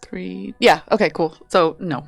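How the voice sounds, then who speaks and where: A woman's voice: on the low side, unhurried, creaky, no room sound. A woman playing a tabletop game, chatting in a playful, joking way. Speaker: player. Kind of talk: casual tabletop play.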